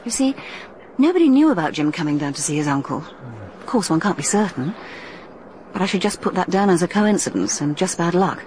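An actress playing a bold, shrewd young woman in a radio drama, talking briskly and persuasively with plenty of self-assurance. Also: fairly low pitch, big pitch swings, a little mellow. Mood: determined. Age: young adult. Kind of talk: 1980s radio drama acting. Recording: radio play with faint hiss.

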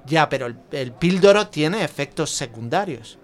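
A man's voice: fairly high pitch, animated, normal speed, close to an everyday voice. An actor with an accent from Spain playing a thoughtful, outspoken man who confides intimately in a friend, reflective and hesitant, repeating words. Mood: earnest. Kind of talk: Spanish audio fiction dialogue.

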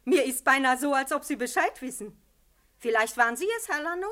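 A woman's voice: sits high, lively intonation, brisk, fairly bright tone. An actress playing a cantankerous, suspicious hotel landlady with a blunt, scolding manner in a German radio crime drama. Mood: irritated, scolding. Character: cantankerous, sharp-tongued hotel landlady, suspicious of her tenants.

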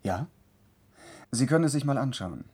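An actor playing a proud, callous surgeon in a science-fiction radio play, explaining things in an obliging, breezily matter-of-fact way. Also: male voice, medium pitch, animated, moderate pace, lightly acted. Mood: casually callous. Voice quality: mellow, soft-edged. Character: proud, casually callous male surgeon at a clinic. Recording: faintly noisy old radio recording.

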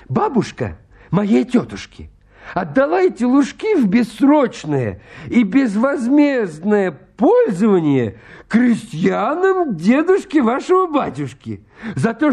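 Shrill, creaky, fussy landowner suitor. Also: male voice, huge pitch swings, slow pace, darkish tone, exaggerated voice. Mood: indignant, insistent. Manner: fussily polite, pleading, argumentative. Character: excitable, pedantic, stubborn landowner suitor in a stage farce. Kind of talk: Russian radio comedy acting.

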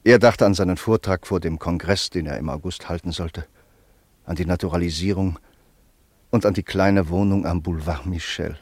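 A man narrating a radio play in a calm, measured way. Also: low-pitched, breathy edge, no echo. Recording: dry close studio sound.

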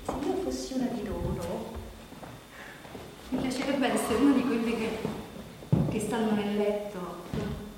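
A woman performing a reflective stage monologue as an actress thinking aloud, halting and repeating phrases, as if in spontaneous conversation. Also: mid-range voice, close to an everyday voice. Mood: pensive. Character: reflective actress pondering how to portray women awaiting death. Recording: very echoey hall, distant, noisy.

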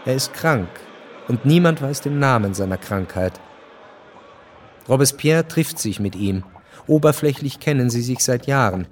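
A man narrating a literary radio drama from a script in a measured, precise way. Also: mid-range voice, expressive, slight background noise.

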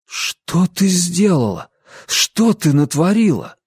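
A woman's voice: deep voice, lively intonation, slow pace, a little mellow, husky, no room sound, clean studio sound, pitched above the performer's own voice, exaggerated voice. A woman narrator voicing a devout young man who feels betrayed, anguished and accusing, in an audiobook. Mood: horrified.

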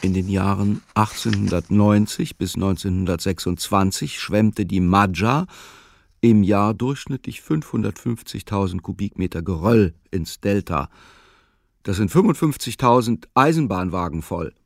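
A man's voice: lowish voice, unhurried, gravelly, dry recording, clean studio sound. A man delivering scripted literary narration for a radio play.

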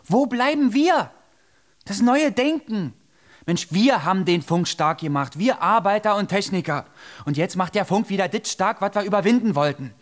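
Casting: young working-class firebrand, high-pitched, blunt and combative, radio play. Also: male voice, expressive, moderate pace. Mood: indignant. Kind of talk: German radio drama acting.

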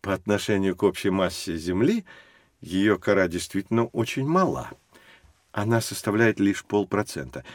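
Actor as low-voiced, expressive male science teacher. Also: normal speed, dry recording, close to an everyday voice. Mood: patient, instructive. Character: patient learned male science teacher explaining to children. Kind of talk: educational audio drama, explanatory.

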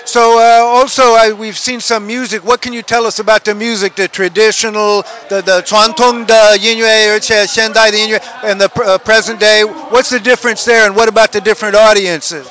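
Halting bilingual male interviewer, high voice. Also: steady tone, a bit bright, dull audio. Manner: switches between questions in two languages, halting. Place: outdoor festival.

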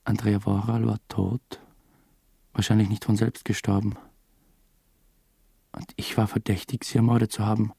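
A man's voice: fairly low pitch, level tone, moderate pace, creaky, pauses often, dark tone, breathy edge, no room sound, studio quality, heavily acted. An actor playing a brooding, weary man who narrates his own story in a radio drama, subdued and sometimes hesitant. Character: brooding, weary male first-person narrator under suspicion.

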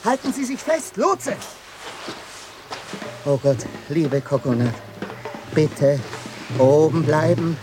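Ein Schauspieler spielt im Hörspiel einen befehlsgewohnten, drängenden Schiffsoffizier im Sturm. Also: male voice, fairly high pitch, lively intonation, slow pace, pauses often. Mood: desperate. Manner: urgent, commanding. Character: commanding ship's officer in a storm. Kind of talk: radio drama acting. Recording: clearly noisy ship sound effects.

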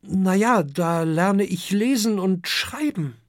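A storybook narrator voicing an earnest, polite young male dragon, a son with a gentle, hesitant way of talking. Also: mid-range voice, expressive, slow, no room sound, clean studio sound, pitched above the performer's own voice.

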